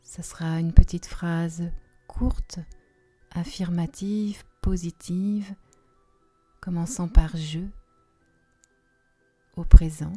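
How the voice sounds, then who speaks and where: Woman doing yoga nidra, lowish really mellow voice, soft n soothing, faint music behind. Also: steady tone. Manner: soft, soothing, unhurried with long pauses, sometimes breathy. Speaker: yoga nidra relaxation guide. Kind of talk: guided relaxation meditation.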